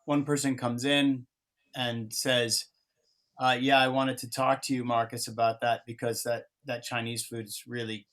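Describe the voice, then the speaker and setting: A man's voice: mid-range voice, level tone, a little slow, fairly bright tone. A man speaking casually as a workshop facilitator, explaining things to a group.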